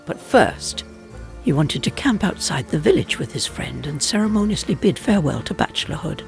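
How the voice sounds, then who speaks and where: A man with a slightly high voice narrates a folk tale. His reading is dramatic and expressive, swinging in pitch, with music underneath. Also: normal speed, gravelly. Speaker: storyteller narrator.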